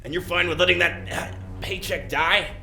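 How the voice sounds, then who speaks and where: A man playing a sardonic, defiant fugitive on a spaceship in an audio drama, with a dry, halting delivery. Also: mid-range voice, steady tone, vocal fry, sharp tone, slight background noise. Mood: defiant, goading. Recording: echoey room, faint music behind.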